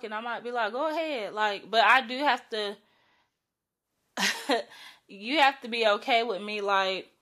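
A young woman with a bright, forward voice hosts a relaxed solo podcast. She speaks frankly, with slang and the odd curse, and she is fighting off a cold that leaves her voice a little strained. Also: medium pitch. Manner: chatty, frank, uses slang and light swearing. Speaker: podcast host. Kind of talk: casual solo podcast monologue.

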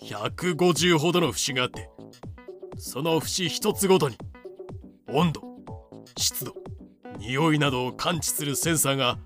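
A man's voice: a little high, measured pace, long pauses, fairly bright tone, faint music behind. A man voicing a confident, matter-of-fact cockroach, explaining in casual masculine phrasing for a fan-made voice drama. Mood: confident, explanatory.

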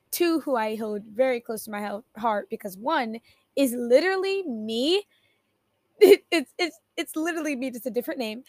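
Raspy-voiced young woman rambling. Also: fairly high pitch, dry recording. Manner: rambling, self-interrupting, giggly. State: raspy just-woken voice. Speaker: podcast host. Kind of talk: casual solo podcast chat.

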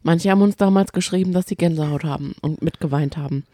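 A woman's voice: fairly low pitch, quick pace, dark tone, no echo. A woman, chatting casually as a podcast co-host about reality TV.

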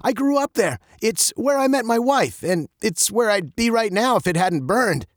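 A man's voice: high-pitched, lively intonation, normal speed, no room sound, clean studio sound, pitched above the performer's own voice. Man doing a stern storybook father. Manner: firm, scolding. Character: stern but kind father, middle-aged.

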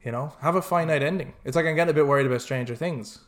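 A man's voice: on the high side, rapid-fire. Man in casual podcast chat. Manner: animated, rambling, opinionated, often swearing. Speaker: podcast host.

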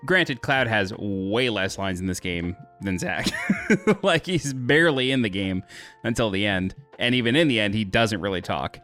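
A man with a bassy voice co-hosting a podcast, blunt and opinionated in casual chat. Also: lively intonation, moderate pace, fairly bright tone, faint music behind. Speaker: podcast co-host.

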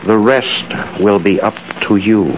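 An actor playing a smug, silky blackmailer with a suave, mock-friendly manner, in an old radio drama. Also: male voice, fairly low pitch, slow pace. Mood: sly, menacing. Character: smug, silky male blackmailer posing as business partner. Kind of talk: 1940s radio drama acting. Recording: muffled, hissy old radio recording.

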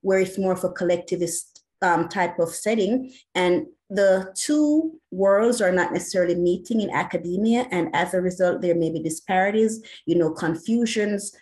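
A woman's voice: on the low side, darkish tone. Earnest Jamaican woman educator. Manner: earnest and passionate, many "you know" fillers. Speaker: educator and academic. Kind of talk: interview answer.

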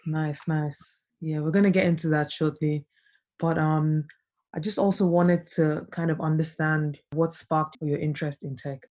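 Soft-spoken relaxed woman hosting a podcast. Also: deep voice, a little slow. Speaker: podcast host. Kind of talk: remote podcast interview. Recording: not studio quality, remote.

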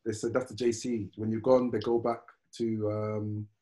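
A man's voice: fairly low pitch, little pitch movement, normal speed, mellow. Rambling man in casual podcast chat. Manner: rambling, repeats words and restarts often.

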